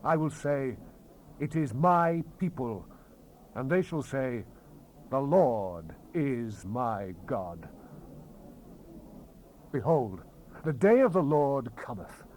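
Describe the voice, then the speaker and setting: A man's voice: medium pitch, big pitch swings, slow pace, long pauses, a little mellow. A man giving a solemn, declamatory dramatized reading of the Bible as narrator. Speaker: scripture narrator. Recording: noisy 1970s cassette rip.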